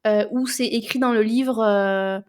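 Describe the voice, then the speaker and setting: A woman, co-hosting a casual book podcast, animated and often repeating words as she searches for them. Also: a little high, unhurried, clean tone. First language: French. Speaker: podcast co-host.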